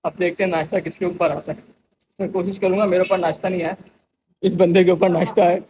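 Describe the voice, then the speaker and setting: A man's voice: high-pitched, level tone, fast, warm tone, low-quality recording. A man in his twenties chatting casually and counting reps as he vlogs in a gym. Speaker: gym vlogger. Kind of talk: casual vlog chat and rep counting. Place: busy gym, indoors.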